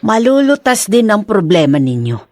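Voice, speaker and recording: Animated, motherly older Filipino woman. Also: medium pitch, moderate pace, dry recording. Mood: comforting. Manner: motherly, exclaiming, comforting. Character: concerned, protective older Filipino mother and grandmother. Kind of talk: radio drama acting.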